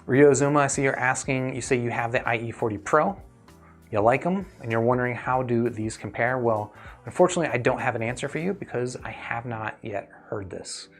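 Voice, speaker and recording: A man with a medium-pitched voice hosts a casual live review stream. He talks conversationally and thinks aloud while answering questions from viewers. Music plays behind his voice. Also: a little fast. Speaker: host of a livestream reviewing earphones.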